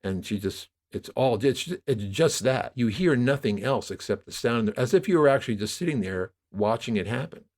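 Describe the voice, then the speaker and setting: A man's voice: mid-range voice, animated, normal speed, hardly any pauses. A man speaking as an interview guest, explaining his production work conversationally and often rephrasing mid-sentence. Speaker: podcast guest, audio drama producer.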